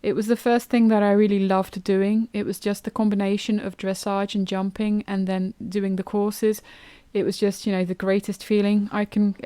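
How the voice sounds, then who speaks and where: A woman's voice: medium pitch, moderate pace, smooth, no room sound. Chatty woman hosting a podcast. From England. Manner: chatty and relaxed, with frequent ums and loose restarts. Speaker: podcast host. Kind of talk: casual solo podcast monologue.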